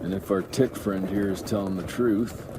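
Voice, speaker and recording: A man's voice: fairly low pitch, fairly even intonation, moderate pace, noisy background, lightly acted. An actor playing a tough, sarcastic male vampire hunter in a game cutscene, blunt and wry. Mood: threatening. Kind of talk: video game cutscene acting. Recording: clear outdoor ambience, birds behind.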